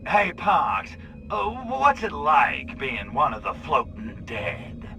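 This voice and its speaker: An actor playing a wisecracking, cocky, lecherous alien invader in a sardonic comic video game style. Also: male voice, medium pitch, sing-song, slow pace, dull audio, music in the background. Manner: sardonic wise guy.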